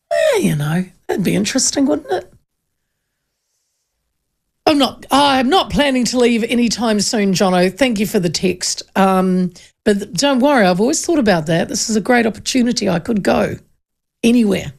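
A woman talk radio host with a New Zealand accent and a gravelly, creaky edge to her voice. She chats loosely from the studio mic and reads out listener texts with a joking, self-deprecating manner. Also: medium pitch. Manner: chatty, self-deprecating, laughs often. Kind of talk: casual talkback radio chat reading texts. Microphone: studio microphone.

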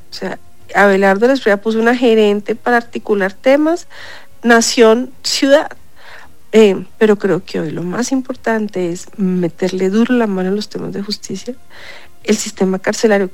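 A woman's voice: fairly high pitch, a little mellow, no echo. Emphatic congested woman. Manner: emphatic, insistent, repeats points. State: congested, stuffy. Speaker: city councillor. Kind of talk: radio interview.

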